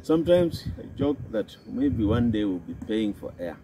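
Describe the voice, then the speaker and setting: Kenyan man, unhurried and lilting, mellow tone, faint outdoor hiss. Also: very animated. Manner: slow and deliberate, with a swooping emphatic pitch. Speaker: psychology and health specialist. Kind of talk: reflective talk to camera.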